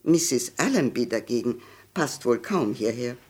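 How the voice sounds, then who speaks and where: A woman's voice: very deep voice, expressive, measured pace, darkish tone, breathy edge, heavily acted. Snobbish old society lady. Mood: condescending, gossipy. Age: older. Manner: condescending, hesitant, rambling. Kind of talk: 1960s German radio play acting.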